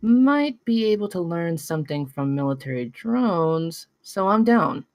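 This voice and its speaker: A young tech genius read by a woman, guarded and unsentimental. The voice sits low, with a terse, matter-of-fact delivery for a film script read. It is recorded dry. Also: big pitch swings, measured pace, a little mellow, no echo. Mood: guarded, reflective. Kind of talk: table read of a screenplay.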